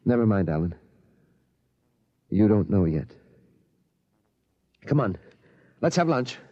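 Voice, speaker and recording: A man's voice: fairly low pitch, big pitch swings, takes it slowly, mellow, full character voice. An actor playing a worried, determined middle-aged father in an urgent, earnest 1950s radio drama style. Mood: resolved. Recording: muffled old radio broadcast.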